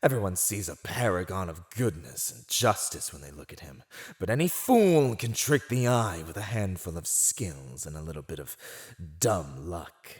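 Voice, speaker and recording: An actor playing a silver-tongued, condescending fantasy villain who teases and mocks a prisoner in a scripted ASMR roleplay monologue. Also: male voice, low voice, sing-song, moderate pace. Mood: scornful. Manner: theatrical, condescending, teasing.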